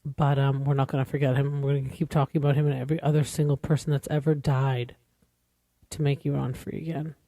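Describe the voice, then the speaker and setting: A woman's voice: extremely low pitch, level tone, fast talker, dark tone, no echo. A woman in her forties hosting a podcast, talking in an earnest, rambling, conversational way. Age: 40s. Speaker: podcast host. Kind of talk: casual podcast monologue.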